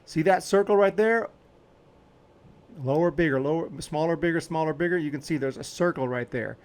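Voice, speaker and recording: Casual man with a slightly high voice. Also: a little fast. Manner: informal, explains as he clicks along. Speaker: video editing tutorial host. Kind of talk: casual step-by-step screen tutorial.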